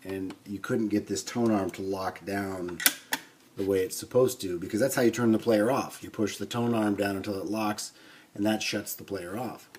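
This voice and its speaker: Man, slightly low-pitched voice, casual demonstration style, informal, thinking aloud, pausing as he goes. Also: steady tone, darkish tone, slight background noise. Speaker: host of a record player restoration video.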